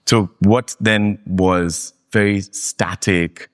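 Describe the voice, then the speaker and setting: A man from Kenya, a guest in a fireside interview, telling stories in an animated, emphatic way. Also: low-pitched, very slow. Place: live meetup venue.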